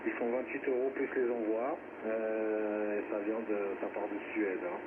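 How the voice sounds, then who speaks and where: Low-voiced man on noisy, narrow shortwave radio. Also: flat delivery, a little slow. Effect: radio transmission. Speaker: amateur radio operator. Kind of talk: casual radio contact chat.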